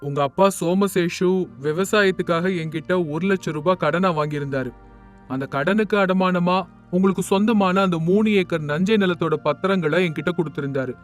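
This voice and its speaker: A man voicing a stern village moneylender in an animated moral story, formal and insistent. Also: high-pitched, normal speed, close to an everyday voice. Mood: businesslike. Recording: music under the voice.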